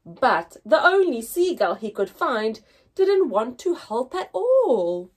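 Young female storyteller for a kids' read-aloud, high animated voice with a touch of husk, dramatic emphasis and pauses. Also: breathy edge. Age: young adult. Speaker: children's story reader. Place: indoors, small room with painted backdrop.

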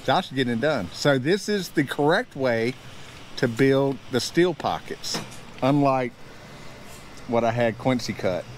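A man with a medium-pitched voice talks casually to a handheld camera on an outdoor building site. He explains things like a builder showing people around, easygoing and a little hesitant. Faint outdoor site noise sits behind his voice. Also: animated, unhurried. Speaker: builder hosting a build vlog. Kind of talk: casual walkthrough narration to camera.

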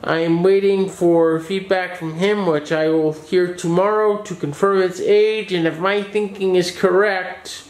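A man's voice: high voice, steady tone, measured pace, hardly any pauses, echoey room, low-quality recording. A man who runs a computer shop, giving a casual update on repairs in his workshop, explaining things plainly with small restarts. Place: small office workshop with desk, indoors.

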